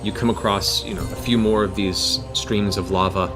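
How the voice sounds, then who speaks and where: Male game master, lower register, descriptive and dramatic tabletop storytelling, music behind him. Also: fairly even intonation, moderate pace. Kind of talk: narrating a tabletop fantasy game.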